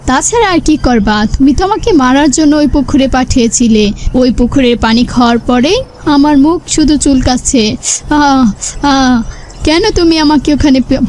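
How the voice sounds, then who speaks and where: A woman's voice: high-pitched, a little mellow, slight background noise, natural voice. A woman voicing a defiant, scolding young village wife in a cartoon. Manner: scolding, complaining. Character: quarrelsome, defiant young village wife cursed to turn tiger. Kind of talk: dubbed cartoon dialogue.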